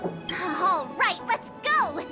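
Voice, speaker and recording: A bubbly, theatrical young mystery fan in a video game, her voice sitting very high. She jumps eagerly to wild theories. Music plays behind her throughout. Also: sing-song, extremely slow, heavily acted. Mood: excited. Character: bubbly young woman, mystery-novel buff.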